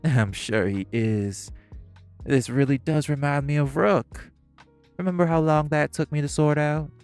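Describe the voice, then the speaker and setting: A man's voice: on the high side, measured pace, darkish tone, clean tone, dry recording, soft background music. An actor playing a smug, catty male crime businessman who needles the others with sarcasm. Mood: mocking. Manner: sarcastic, provoking, flirtatious jabs. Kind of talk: audio roleplay acting.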